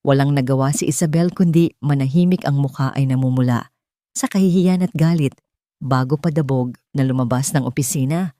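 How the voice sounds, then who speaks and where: A woman's voice: very deep voice, a little fast, dark tone, no echo, studio quality. A woman reading a scripted romance story as a dramatic audiobook narrator.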